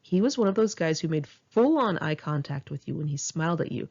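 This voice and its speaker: A woman's voice: low-pitched, animated, a little fast, gravelly, darkish tone, husky. A woman narrating her own memoir informally, with candid, self-mocking, wry delivery and chatty asides to the listener. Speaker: author reading own memoir. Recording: dull, muffled lo-fi home recording.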